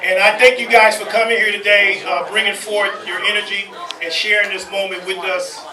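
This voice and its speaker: A man with a very high-pitched voice hosts a live event, loud and rallying. He is heard from a distance in an echoey space, with a crowd around him. He addresses the audience like someone working a stage. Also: little pitch movement, a little fast. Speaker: event host.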